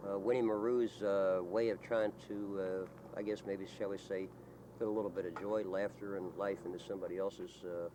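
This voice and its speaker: Man, very low voice, folksy rambling storyteller, halting delivery, clearly noisy documentary sound. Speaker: local resident being interviewed. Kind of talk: casual storytelling to an interviewer.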